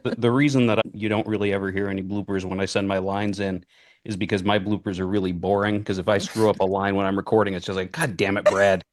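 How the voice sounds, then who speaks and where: A man's voice: sits low, level tone, fast talker, no echo, clean studio sound. A man chatting casually on a panel as a voice actor, often restarting and repeating his words.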